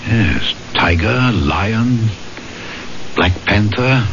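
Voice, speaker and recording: A man's voice: lowish voice, lively intonation, background noise, heavily acted. An actor playing a small, dapper French policeman, formal and probing, in 1970s radio drama style. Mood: polite. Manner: formal, measured, literary phrasing. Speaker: narrator and lead actor. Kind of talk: 1970s radio drama acting, first-person storytelling. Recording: muffled 1970s radio recording, loud music behind.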